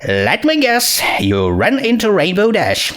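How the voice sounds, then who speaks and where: A man's voice: sits high, wildly swooping pitch, a little slow, fairly bright tone, pitched above the performer's own voice, exaggerated voice. A man voicing a bookish young pony princess with a dry, incredulous, dismissive manner, in a storybook reading. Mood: weary, skeptical.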